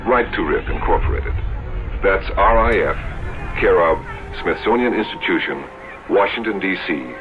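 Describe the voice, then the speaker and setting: Low voiced psa announcer guy, earnest, lots of inflection, dull old radio w hiss. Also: animated. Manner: earnest, measured. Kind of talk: public service announcement read.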